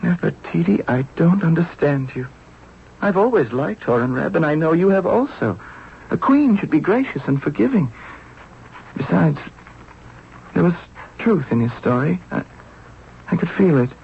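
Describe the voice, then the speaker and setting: A young pharaoh, speaks with earnest, forgiving mildness. His voice is on the high side, lively in pitch and edged with creak. The setting is a vintage radio play. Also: normal speed, pauses often. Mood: troubled, earnest. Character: gentle young pharaoh, puzzled, forgiving husband. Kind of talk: 1970s radio drama acting. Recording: dull, noisy old radio broadcast recording.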